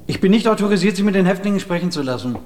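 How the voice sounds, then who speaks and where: A man's voice: high voice, animated, very fast. A man playing a young, naive, officious prison official in a radio drama, stiff and nervous. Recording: noisy scene ambience.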